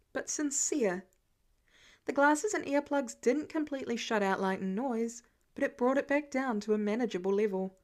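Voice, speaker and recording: A woman reading scripted fiction aloud as an amateur audiobook narrator. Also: a little high.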